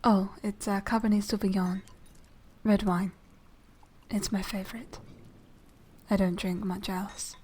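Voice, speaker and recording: A woman playing a polite, secretive young noble vampire lady in a soft, half-whispered ASMR roleplay, speaking formally and with measured care. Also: medium pitch, fairly even intonation, a little hiss or hum, lightly acted. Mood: polite, slightly evasive. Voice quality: soft, breathy whispering edge. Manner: hushed, formal, measured, genteel.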